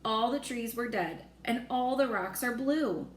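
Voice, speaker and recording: A woman's voice: on the high side, expressive, unhurried, voice pushed up. A woman voicing a frightened, exasperated male air force chief from a storybook, agitated and exclaiming. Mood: dismayed. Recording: roomy echo with faint noise.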